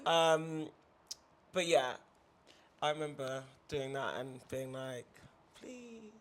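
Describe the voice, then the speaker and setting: A woman's voice: deep voice, takes it slowly. A young woman from England, chatting casually as an interview guest in her studio, with lots of fillers. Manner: chatty, lots of fillers and restarts, laughs easily. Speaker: guest artist. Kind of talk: casual conversation.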